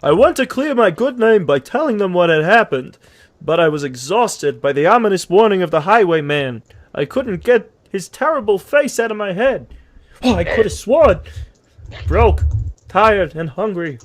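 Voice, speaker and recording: A man's voice: high voice, expressive, moderate pace. A man voicing an anxious, earnest horse who narrates his own downfall in a stammering, melodramatic way. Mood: downcast. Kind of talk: scripted audio comic narration.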